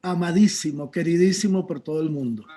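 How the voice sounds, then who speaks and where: A man from Táchira, Venezuela, moderates a panel discussion over a video call. His voice sits fairly high but sounds mellow and soft-edged, and he asks his long questions in a relaxed, conversational way. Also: brisk.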